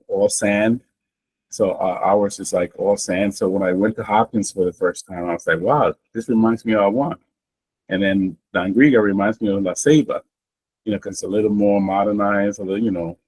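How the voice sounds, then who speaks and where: Man in his 60s, from Honduras, Garifuna first language; low husky voice, easygoing storyteller for an interview. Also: rough recording. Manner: relaxed, rambling, many fillers and restarts. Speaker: guest. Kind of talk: interview, personal life story.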